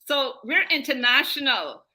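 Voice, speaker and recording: Bright, high-voiced woman presenting. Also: animated, measured pace, low-quality recording. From born in England, raised in Guyana. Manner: emphatic, repeats key phrases for effect. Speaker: host, menstrual wellness coach. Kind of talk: presentation to an online group.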